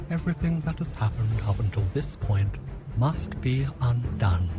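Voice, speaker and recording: A man plays a gloating outcast schemer in an audio drama. He speaks in a medium-pitched male voice, theatrical and taunting, full of bitter grandeur. Music plays clearly underneath. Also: lightly acted. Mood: demanding.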